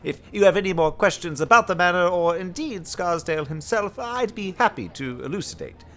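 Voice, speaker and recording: A man's voice: sits high, animated, brisk, fairly bright tone. A man acting a gloomy, pompous horror writer with florid, archaic, theatrical delivery in a comic web drama. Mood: eager, pompous.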